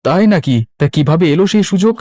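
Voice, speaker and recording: A man voicing a gentle, ailing middle-aged village father in a cartoon, with a questioning manner. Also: fairly high pitch, animated, fast talker, no echo. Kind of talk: animated story voice acting.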